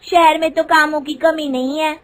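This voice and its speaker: Very high-pitched young village wife voiced by a woman. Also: level tone, moderate pace, fairly bright tone. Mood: insistent. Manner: insistent, complaining. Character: discontented, ambitious young village daughter-in-law and wife. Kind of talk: acted dialogue in animated moral story.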